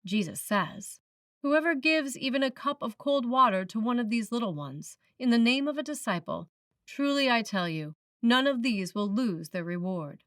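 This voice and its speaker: A woman preacher reading a written sermon in a measured, reflective manner, putting questions to the listener. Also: on the high side, animated, a bit bright, no room sound, studio quality, recorded from a distance. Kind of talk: sermon, read from a script.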